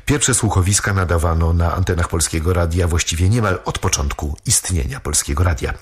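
A man giving measured, formal scripted narration as a documentary narrator. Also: low voice, husky.

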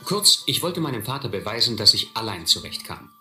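A middle-aged actor playing a reflective man who recalls his boyhood, narrating a radio play in measured, literary style. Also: lowish voice, sing-song, pushed and bright, faint music behind, heavily acted. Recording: echoey old recording, faint noise.

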